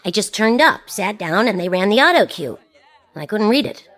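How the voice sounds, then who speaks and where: A woman's voice: mid-range voice, lively intonation, normal speed, fairly bright tone, close to an everyday voice. A woman playing a wistful, regretful television presenter, confiding and wry, in a comic science fiction radio drama.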